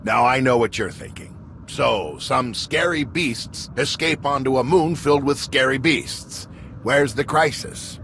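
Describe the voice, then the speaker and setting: An actor playing an earnest, easily indignant official in a sci-fi video game, speaking in an urgent, combative way. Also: male voice, medium pitch, measured pace, a bit bright, a little hiss or hum. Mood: earnest, worried. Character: earnest, indignant male official distrustful of corporate crooks. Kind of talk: video game cutscene acting.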